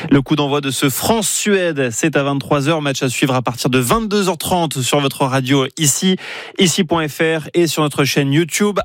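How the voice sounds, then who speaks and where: A man presenting morning radio news in a brisk, conversational way, with occasional fillers, from a studio. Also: fairly high pitch, no room sound.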